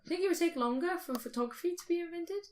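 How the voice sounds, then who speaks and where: A woman's voice: very high-pitched, rapid-fire, darkish tone, a little hiss or hum. A woman podcast co-host in casual speculative discussion, thinking aloud and often posing questions.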